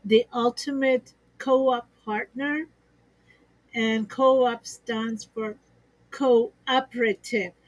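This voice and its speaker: Bright-voiced woman explaining business partnerships. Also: on the high side, steady tone, drawn-out words, no room sound, low-quality recording. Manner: upbeat, explains in systems and examples, laughs easily. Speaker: expert guest on a business livestream. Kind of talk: conversational business talk.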